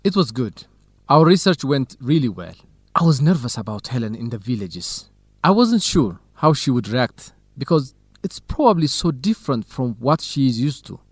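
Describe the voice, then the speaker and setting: A man's voice: mid-range voice, very animated, normal speed, husky, no echo, clean studio sound. An actor playing an earnest, lovesick young male student researcher in an educational radio drama, talking in plain, simple sentences. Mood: lovesick, wistful.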